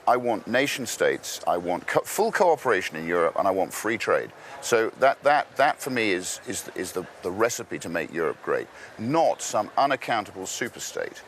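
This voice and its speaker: A man with a very low voice argues his position emphatically in a live interview, doubling back on words as he presses each point. Faint outdoor ambience sits underneath. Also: a bit bright, slight background noise. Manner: emphatic, repeats words when pushing a point. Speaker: interview guest. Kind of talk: political debate.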